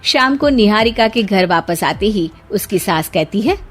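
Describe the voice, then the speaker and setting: A woman storyteller narrates a cartoon family tale with animated, swinging intonation. Her voice sits at a medium pitch, with soft music behind it. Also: a little slow.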